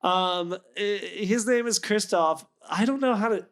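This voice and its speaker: A man's voice: sits high, unhurried, a bit bright. A man hosting a podcast in casual, joking chat. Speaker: podcast host.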